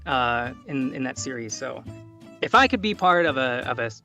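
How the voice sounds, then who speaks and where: A man's voice: mid-range voice, expressive, moderate pace, pushed and bright, faint music behind. A man speaking as a voice actor in a casual interview, informal and often hesitant as he finds his phrasing.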